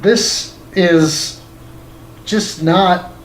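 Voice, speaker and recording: A man's voice: high voice, fairly even intonation, extremely slow, slight background noise, poor audio. A man reading a scripted video game review as its narrator.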